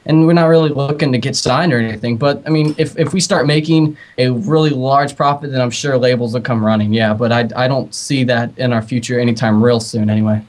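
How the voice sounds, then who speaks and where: A man's voice: mid-range voice, steady tone, a little fast, few pauses, a little mellow. A man who plays in a band answers casually as a radio guest, explaining things earnestly and informally. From outside the UK. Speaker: band member, interview guest.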